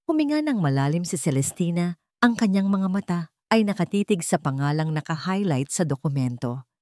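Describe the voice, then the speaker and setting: Low-voiced Filipino woman narrating a dramatic story. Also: sing-song, brisk, a little mellow, no echo, clean studio sound.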